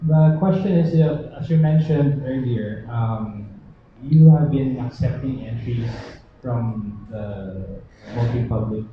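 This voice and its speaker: A man's voice: mid-range voice, takes it slowly, slight background noise. A man, a magazine reporter, asking a hesitant question with many fillers at a press conference. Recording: echoey hall, phone-like.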